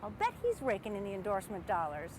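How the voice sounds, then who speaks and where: A woman's voice: medium pitch, huge pitch swings, fast talker. Bouncy field reporter woman, street noise. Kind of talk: on-location interviewing and walk-through.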